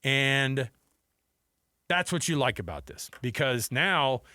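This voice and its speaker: An American man delivers opinionated sports commentary as a radio host. His voice sits at a medium pitch, with an emphatic, conversational delivery. The studio sound is dry and close. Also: sing-song, slow pace, sharp tone, no room sound.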